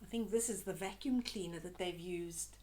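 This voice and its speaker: A woman's voice: lowish voice, moderate pace, darkish tone. An older woman reading a children's story aloud, expressively, with chatty asides to young listeners.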